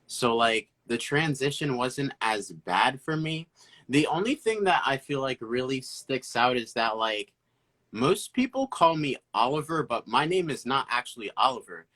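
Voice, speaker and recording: A young man talks in a bright, forceful voice of average pitch. His style is casual and conversational, like chatting with a friend, with slang sprinkled through it. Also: big pitch swings, unhurried. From born in Haiti, moved to the US when very young. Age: young adult. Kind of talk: casual chat.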